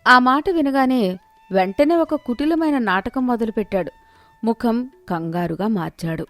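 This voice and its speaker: A woman's voice: fairly high pitch, animated, moderate pace, no echo, faint music behind. A woman narrating a scripted moral story as its storyteller.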